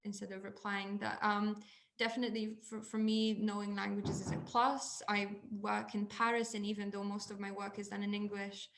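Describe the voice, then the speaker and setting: A woman's voice: medium pitch, little pitch movement, moderate pace, gravelly. A young woman whose first language is Polish, speaking on an online panel and often restarting her sentences. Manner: hesitant, frequent restarts. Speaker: think tank panelist. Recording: echoey room.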